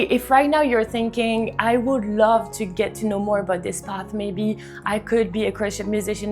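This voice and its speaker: Chatty young woman over faint music. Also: mid-range voice. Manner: chatty, earnest, many "like" fillers. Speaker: vlogger, cruise ship musician. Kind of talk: casual talking-to-camera vlog.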